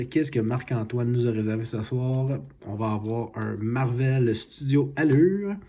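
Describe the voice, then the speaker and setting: A man hosting a card-opening video, unhurried and excited over big pulls. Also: medium pitch, a little hiss or hum. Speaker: card collecting channel host. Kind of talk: casual card-opening vlog. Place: home, at a card-opening mat.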